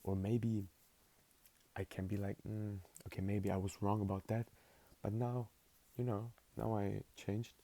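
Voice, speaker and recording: Deep gravelly teenage man, laid-back. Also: little pitch movement, a little slow, warm tone. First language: not English. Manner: rambling, filler-heavy, laid-back. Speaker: vlogger. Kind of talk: casual spoken journaling monologue.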